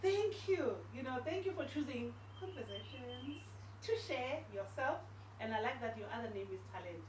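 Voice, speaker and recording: A woman hosting a podcast interview, lively and enthusiastic, chiming in often. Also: a little high, moderate pace. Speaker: podcast host. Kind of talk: casual interview chat. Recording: roomy, distant mic with background noise.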